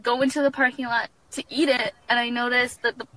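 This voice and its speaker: A woman recounting a bad personal experience in a calm, matter-of-fact way, with frequent hesitant fillers. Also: fairly high pitch, fairly quick, low-quality recording. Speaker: social media poster. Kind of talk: telling a personal story to camera.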